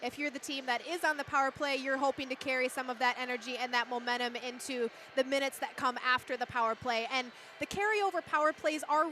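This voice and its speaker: Woman, Minnesotan accent, hockey analyst, explanatory, high pitch, faint noise. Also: steady tone, sharp tone. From Twin Cities, Minnesota, USA. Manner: explanatory, quick laughs. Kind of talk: casual live-stream analysis.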